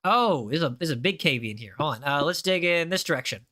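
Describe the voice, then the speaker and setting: A young male streamer with a high, bright voice talks to his live chat while he plays. He is casual and chatty and often says the same phrase two or three times in a row. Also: animated, fast talker.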